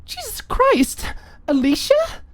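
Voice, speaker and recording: Woman acting a frazzled, high-pitched young roommate. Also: huge pitch swings, takes it slowly, full character voice. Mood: shocked. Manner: shrill, indignant, pleading.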